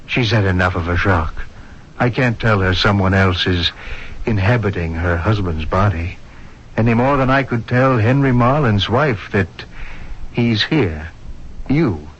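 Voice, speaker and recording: An actor playing a grave, cautious hospital doctor with a measured, firm manner in a 1970s radio drama. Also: male voice, lowish voice, slightly rough. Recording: dull 1970s radio recording.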